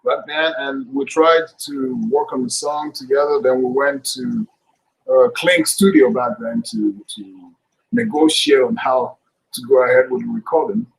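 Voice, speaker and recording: A middle-aged man, a guest actor chatting casually on a video call, relaxed and jokey. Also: mid-range voice, a little slow, creaky, poor audio. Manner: relaxed, laughs often, jokey. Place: indoors, room with curtains. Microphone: webcam mic on video call.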